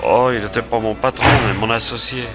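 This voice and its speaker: An actor playing an excitable, grieving fairground barker in a French radio detective serial, theatrical and halting. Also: male voice, fairly low pitch, over music, close to an everyday voice. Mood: sorrowful. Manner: theatrical, halting and exclamatory when upset.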